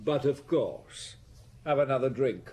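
Actor as languid, sardonic young count. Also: male voice, mid-range voice, animated, measured pace, a little mellow, natural voice. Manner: languid, dry, lordly. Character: dissolute aristocratic male heir, a cool, sardonic count. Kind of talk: BBC radio drama acting.

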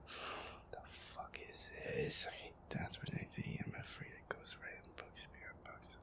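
A man playing a feisty, sweary werewolf boyfriend who grumbles his way through a horror game in an audio roleplay, his voice breathy and gravelly. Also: normal speed, sharp tone, whispered, close to an everyday voice. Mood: grumbling, uneasy. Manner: exasperated, sweary grumbling, reading game text aloud. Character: feisty werewolf boyfriend, grumpy, sweary, easily scared gamer.

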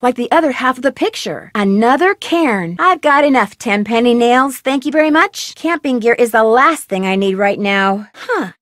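A bright, curious teenage girl detective, voiced by a woman tosses off playful quips as she pokes around a video game. Her fairly high voice leaps up and down with lots of life. The sound is close and dry, with no room around it. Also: lively intonation, normal speed, hardly any pauses, no echo, natural voice. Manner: upbeat, playful quips to herself. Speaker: game protagonist.

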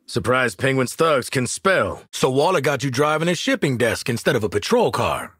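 Casting: cocky American marksman for an action game, raspy, forceful, wide swings in pitch, brash and wisecracking. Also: sing-song, normal speed, no echo, clean studio sound. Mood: brash, confident. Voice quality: gravelly, raspy. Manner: brash, slangy, confident. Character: tough, cocky, wisecracking male marksman mercenary. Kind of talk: video game combat voice lines.

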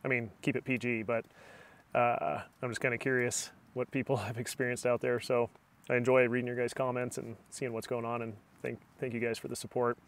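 Relaxed man telling stories from a tent. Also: medium pitch, brisk. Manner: relaxed storytelling, chatty. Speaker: outdoor vlogger. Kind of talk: casual camping vlog. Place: inside a small hot tent at night. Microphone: camera on a tripod, then close phone at arm's length.

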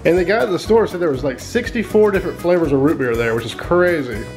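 A man's voice: a little high, expressive, quick pace, over music. A man in his thirties filming a casual family vlog in a hotel room, upbeat and teasing, laughing often. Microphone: handheld camera.

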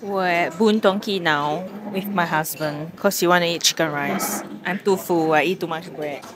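A young Singaporean woman with a slightly low voice talks informally in a food vlog. Her speech is sprinkled with Singlish particles, and a busy crowd of diners chatters behind her. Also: moderate pace. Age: young adult. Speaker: vlogger. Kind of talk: casual food vlog chat. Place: busy cafe and restaurant indoors.

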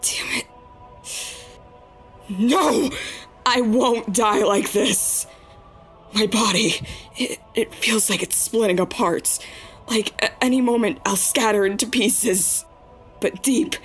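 A woman's voice: a little high, takes it slowly, fairly bright tone, slightly rough, faint music behind, exaggerated voice. A woman playing a fierce monster warrior in a dramatic comic dub, intense and heroic. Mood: pained, determined. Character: fierce, gruff female monster warrior, wounded but defiant.

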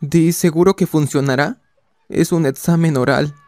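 A man's voice: on the high side, fairly even intonation, moderate pace, darkish tone, no room sound, natural voice. A man with a Latin American accent voicing a nervous, gullible teenage schoolboy in a cartoon dub, speaking hesitantly. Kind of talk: animated fan dub acting.

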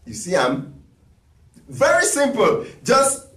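A man's voice: very high-pitched, huge pitch swings, takes it slowly, fairly bright tone, recorded from a distance, slight background noise. A man, teaching talking drum on a live stream in a chatty, encouraging way. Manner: chatty, encouraging, reads viewer requests aloud. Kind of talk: live-streamed music lesson. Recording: echoey room, voice from off the mic.

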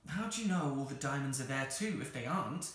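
A man's voice: mid-range voice, animated, brisk, fairly bright tone, very echoey, pitched above the performer's own voice, close to an everyday voice. A man voicing an earnest young girl from a children's storybook, with breathless, theatrical urgency. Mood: anxious, gloomy. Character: earnest, dramatic young girl, an anxious older sister.

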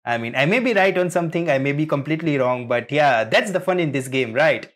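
A man hosting a reaction video, giving rambling, halting, casual commentary as he theorizes like a fan. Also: on the high side, animated, fairly bright tone. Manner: rambling, halting, chuckling often.

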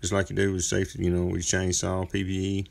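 A man's voice: deep voice, completely flat delivery, quick pace, vocal fry. A man in his thirties, a chainsaw carver, talking through his outdoor work in a laid-back, folksy, rambling way. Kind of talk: casual workshop narration. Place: outdoor driveway workspace.

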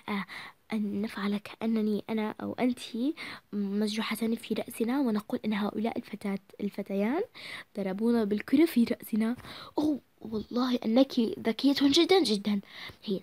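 A woman's voice: medium pitch, darkish tone, slightly rough, no echo, natural voice. A woman voicing spoiled, scheming rich little girls who giggle and whisper plots to each other.

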